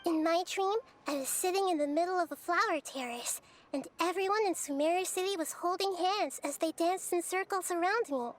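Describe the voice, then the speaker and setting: An actress voicing a gentle, wise, childlike young goddess in a video game, speaking softly and thoughtfully. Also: female voice, extremely high pitch, brisk. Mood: happy, innocent. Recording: music behind the voice.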